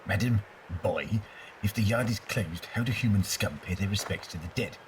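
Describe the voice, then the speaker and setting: A man's voice: fairly low pitch, moderate pace, background noise, natural voice. A man playing a pompous, bloodthirsty alien warrior servant in an audio drama, literal-minded, barking and scornful of humans. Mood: pompous bemusement.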